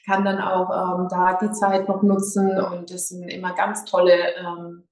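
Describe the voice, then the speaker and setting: A yoga teacher from Lower Franconia, talking as a guest in a hesitant, slightly nervous way with many fillers. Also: female voice, monotone, unhurried, darkish tone. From Lower Franconia, Germany. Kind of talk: casual interview. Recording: echoey room.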